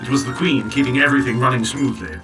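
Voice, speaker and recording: A man playing a loyal clockwork servant who tells a story in a formal, measured, precise way in an audio drama. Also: medium pitch, lively intonation, recorded from a distance, over music, lightly acted. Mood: solemn. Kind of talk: full-cast audio drama narration.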